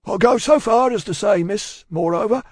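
A man's voice: high voice, normal speed, darkish tone, husky, dry recording, clean studio sound, pitched above the performer's own voice. A man voicing a solemn, repentant working-class London man in a storybook, with a Cockney accent and a ponderous, preachy, emphatic way of talking. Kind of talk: audiobook character acting.

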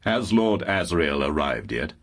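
An actor playing a stately, commanding old college master in an audiobook drama, with a deep husky voice and measured, authoritative delivery. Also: male voice, very animated, fairly bright tone, heavily acted. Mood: calm command. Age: older.

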